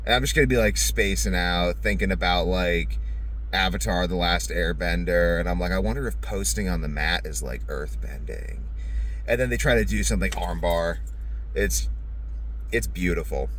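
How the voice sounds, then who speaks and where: A man's voice: low voice, fairly bright tone. A man giving a casual, rambling vlog monologue in a blunt, profane, joking manner, often acting out imagined dialogue. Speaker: vlogger. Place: vehicle. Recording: noticeable vehicle noise.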